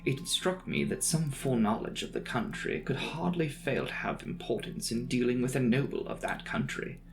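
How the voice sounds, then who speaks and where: Man acting earnest young male traveller, audiobook journal entries, precise, inquisitive, very animated pitch, gravelly fry. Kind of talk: dramatic audiobook reading of a journal. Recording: music behind the voice.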